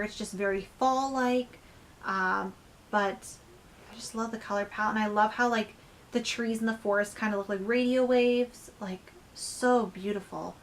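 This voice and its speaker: Young woman book vlogger, casual and chatty, voice going hoarse, faint background hiss. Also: mid-range voice, a bit bright. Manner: chatty, lots of "like" and "um". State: losing her voice. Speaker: book reviewer. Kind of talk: casual book review vlog.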